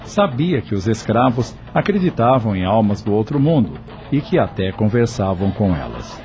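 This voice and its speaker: A man's voice: on the low side, very animated, normal speed, warm tone. A man with a Brazilian accent giving scripted dramatic narration for a radio serial.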